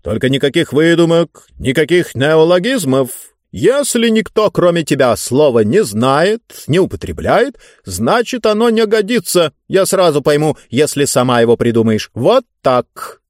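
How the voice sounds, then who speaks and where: A man's voice: a little high, sing-song, no echo, clean studio sound, voice pushed up. A man voicing a pompous, greedy tyrant who savours words theatrically in an audiobook. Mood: greedy, commanding. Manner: theatrical, drawn-out, emphatic delivery. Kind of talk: audiobook character voice.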